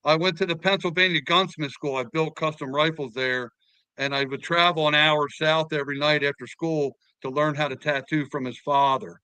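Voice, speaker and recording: A man's voice: a little high, steady tone, moderate pace, pushed and bright, clear, resonant tone, dry recording, low-quality recording. A man in his fifties hosting a podcast, chatting and telling long stories with repeated words. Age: 50s. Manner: talkative storyteller, repeats words. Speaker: podcast host. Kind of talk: casual podcast chat.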